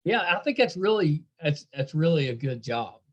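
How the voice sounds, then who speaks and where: A man's voice: fairly high pitch, sing-song, normal speed, muffled recording. A man hosting a casual interview, agreeable and encouraging. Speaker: interview host.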